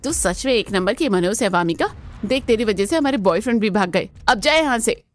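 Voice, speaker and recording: A woman's voice: medium pitch, lively intonation, fairly quick, natural voice. A woman voicing a spiteful, snobbish young older sister in a Hindi cartoon, with an exaggerated, sneering manner. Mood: angry. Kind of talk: Hindi family cartoon voice acting.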